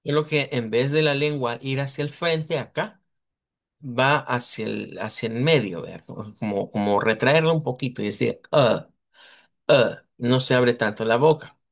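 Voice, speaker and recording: A man, lecturing in a live online language class. His voice sits at an everyday middle pitch. He talks patiently, spells out sounds slowly and keeps asking his students whether they follow. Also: gravelly. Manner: explanatory, repeats and models sounds slowly; checks in with students, asks "verdad". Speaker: language teacher.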